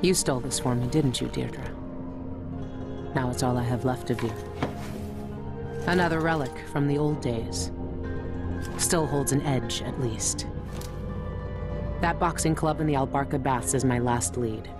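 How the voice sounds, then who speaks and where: Weary female assassin talking to herself, dry and low-key, game cutscene with music. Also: measured pace, long pauses. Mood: wistful, determined. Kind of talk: video game cutscene monologue.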